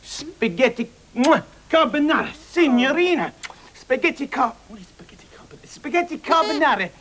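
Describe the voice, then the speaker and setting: A man playing a flustered, excitable restaurateur in a children's slapstick comedy, exasperated and repeating himself. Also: very high-pitched, moderate pace, a little hiss or hum, heavily acted. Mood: fawning waiter act. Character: flustered, excitable male restaurateur posing as Italian waiter.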